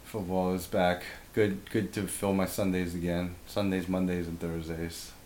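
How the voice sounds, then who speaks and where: Raspy low-voiced man, roomy echo. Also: flat delivery, moderate pace, a little mellow, a little hiss or hum. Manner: laughs often, laid-back. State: raspy from bronchitis. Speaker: podcast co-host. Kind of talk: casual chat.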